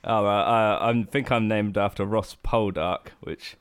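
A man's voice: lowish voice, steady tone, a little slow, clean tone, no room sound. A man hosting a podcast, easy-going, laughing often and asking short questions in a casual interview. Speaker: podcast host.

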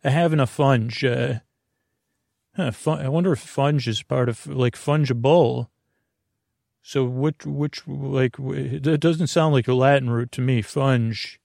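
A middle-aged man with a gravelly, creaky voice at an ordinary pitch, wandering from thought to thought in a slow, goofy bedtime ramble. He interrupts himself and drifts off topic. The sound is dry and close, with no room around it. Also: darkish tone, dry recording. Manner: meandering, self-interrupting, goofy asides. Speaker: sleep podcast host.

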